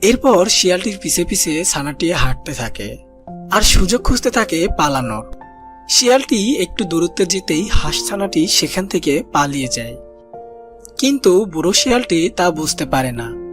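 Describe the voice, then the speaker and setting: A man narrating a children's cartoon story in a gentle storybook manner, speaking to young listeners as friends. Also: high voice, very animated, moderate pace. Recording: voice-over with loud background music.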